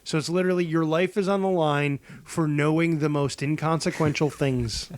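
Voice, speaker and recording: A man's voice: on the high side, steady tone, normal speed. A man co-hosting a casual comedy chat, playfully riffing along in improvised banter. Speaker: podcast co-host.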